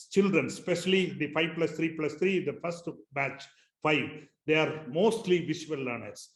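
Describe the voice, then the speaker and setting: A middle-aged man with an Indian accent, a native Tamil speaker, giving a webinar presentation in a halting way with many pauses and fillers. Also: fairly high pitch, fairly bright tone. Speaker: school founder, webinar speaker. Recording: echoey room on a webinar call.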